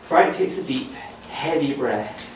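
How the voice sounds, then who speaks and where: A male narrator reads screenplay directions with a lively, varied pitch. The sound is very echoey and distant, with faint noise behind it. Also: takes it slowly. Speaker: narrator reading stage directions. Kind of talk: scripted narration at a table read.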